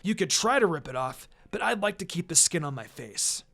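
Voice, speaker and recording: A man's voice: a little high, very animated, fairly quick, gravelly, pushed and bright, heavily acted. A man voicing an earnest, awkward teenage ninja boy in a storybook reading, plain-spoken and a little sheepish. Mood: strained explaining. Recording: music behind the voice.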